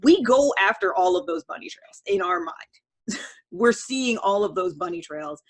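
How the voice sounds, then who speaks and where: A woman's voice: mid-range voice, lively intonation, a little slow. A woman hosting a show, explaining ideas in a casual monologue, thinking aloud in informal language. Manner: informal, self-referential, thinking aloud with examples. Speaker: host of a personality-typing show.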